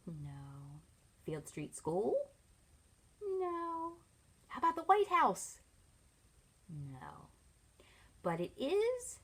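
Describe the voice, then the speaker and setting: A middle-aged woman, a teacher reading a picture book aloud to children, expressively and with pauses to ask them leading questions. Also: mid-range voice, breathy edge. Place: small furnished room at home, indoors.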